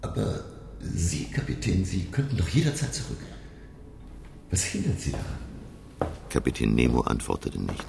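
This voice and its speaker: An actor playing a learned, curious professor who narrates his undersea adventure in a radio play, gravelly and measured. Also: wildly swooping pitch, a little mellow, slight background noise, exaggerated voice. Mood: curious, probing. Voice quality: very deep, gravelly. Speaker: narrator and character.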